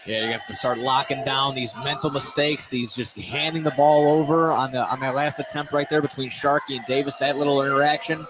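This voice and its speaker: A man's voice: mid-range voice, fairly even intonation, a little fast, hardly any pauses. A man giving color analysis on a live basketball broadcast in a conversational, slightly rambling way. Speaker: color commentator. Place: indoor basketball gym. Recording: noisy live gym broadcast.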